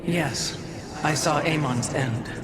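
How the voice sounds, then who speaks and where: A woman playing a haunted, dutiful alien priestess seer in a game cutscene, speaking gravely and formally, with portentous weight. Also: low voice, takes it slowly, heavy background noise. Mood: solemn. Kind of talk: dramatic game cutscene acting. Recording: loud music behind the voice.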